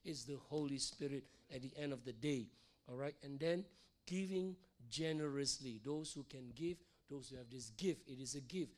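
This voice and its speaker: A middle-aged male pastor preaching in a church, hoarse from losing his voice, repeating phrases and checking in with "all right?" and "okay?". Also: mid-range voice, normal speed, darkish tone. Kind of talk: sermon teaching.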